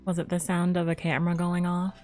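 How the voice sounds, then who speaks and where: A woman's voice: fairly low pitch, completely flat delivery, fast talker, mellow, faint music behind. A woman streaming a game with casual commentary, tossing in offhand, dry asides.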